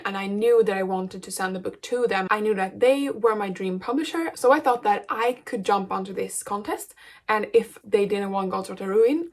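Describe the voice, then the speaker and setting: A young adult woman whose first language is Swedish, talking earnestly in a casual vlog about her writing. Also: medium pitch. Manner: chatty and earnest, thinks aloud. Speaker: book vlogger.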